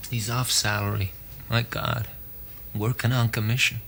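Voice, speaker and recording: An actor playing a restless grown son, defensive and hesitant, in a stage drama style. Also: male voice, fairly low pitch, little pitch movement, unhurried, creaky, bright, forceful tone, heavily acted. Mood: low-key. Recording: faint background noise.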